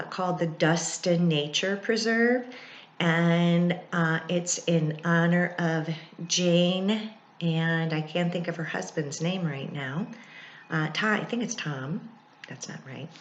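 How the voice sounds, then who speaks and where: A woman reading a picture book aloud in a teacherly way, pausing to explain things to children. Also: on the low side, a bit bright. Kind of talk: read-aloud storytelling with informal explanations to children. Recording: echoey, roomy sound.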